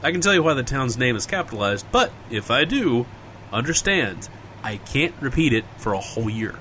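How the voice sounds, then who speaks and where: An actor playing an easygoing, bemused friend in a casual, slangy comedy audio drama. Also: male voice, mid-range voice, very animated, a little fast, sharp tone, slight background noise. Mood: bantering. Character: easygoing, bantering friend explaining a strange town.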